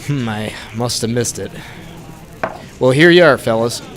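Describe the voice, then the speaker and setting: Man playing a wry young bartender, medium pitch. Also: measured pace, background noise, natural voice. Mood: feigned innocence. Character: young, smooth-talking male speakeasy owner, slyly innocent. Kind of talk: 1920s old-time radio drama acting.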